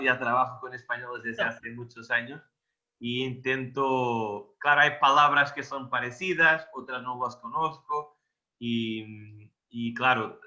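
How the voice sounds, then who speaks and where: Emphatic bright-voiced Portuguese man. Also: medium pitch, highs cut off. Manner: emphatic, repeats words for stress, hesitant over vocabulary. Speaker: electro-aesthetics expert guest. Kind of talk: technical explanation in a video interview.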